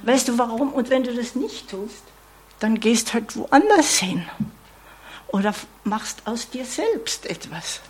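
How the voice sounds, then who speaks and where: A woman's voice: fairly high pitch, expressive, unhurried, breathy edge. A woman preaching a sermon in an earnest tone, often adding "ja?" asides and dropping to a whisper.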